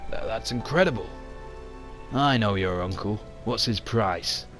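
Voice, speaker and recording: A man's voice: lowish voice, a little slow, music in the background, close to an everyday voice. A man voicing a blunt, weary middle-aged male expedition leader in a comic reading. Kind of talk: comic book voice acting.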